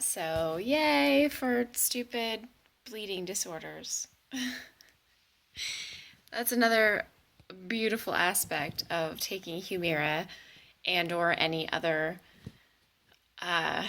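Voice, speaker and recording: A woman's voice: fairly low pitch, takes it slowly, gravelly, pauses often, fairly bright tone. A young woman from the Pacific Northwest, chatting casually and candidly to the camera in a vlog, with self-mocking asides. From Washington State, USA. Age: young adult. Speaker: vlogger.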